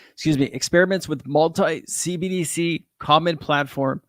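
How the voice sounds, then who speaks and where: A man from California with a hoarse voice, casually explaining crypto payment systems as a channel host and often restarting mid-sentence. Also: on the high side, no echo, studio quality. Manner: rambling, restarts, reads from screen. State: hoarse, losing his voice.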